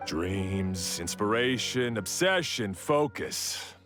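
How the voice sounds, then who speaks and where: A man playing a proud, perfectionist blacksmith in a video game, measured and courteous, with dramatic trailing sentence endings. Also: on the low side, animated. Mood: solemn. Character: proud, perfectionist male blacksmith from a fantasy land. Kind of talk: video game character acting. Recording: game music faintly behind.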